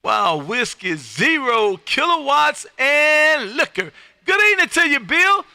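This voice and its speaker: A man's voice: very high voice, sing-song, unhurried, vocal fry, sharp tone, no echo, studio quality. A man from Texas hosting an amateur radio livestream, chatting and calling out in a folksy, effusive way and repeating his phrases. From United States, Texas. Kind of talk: casual ham radio chat and call-outs.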